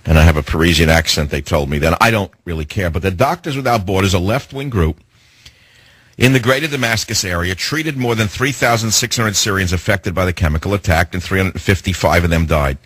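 A man hosting a talk radio show, delivering a sarcastic, indignant monologue with theatrical swings in pitch. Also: animated, fairly quick, fairly bright tone, husky, no echo.

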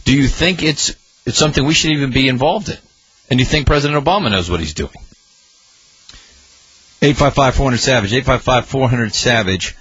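A man's voice: medium pitch, fairly quick, long pauses, fairly bright tone, husky, no room sound, dull audio. A male talk radio host commenting on politics in a sarcastic, mocking way.

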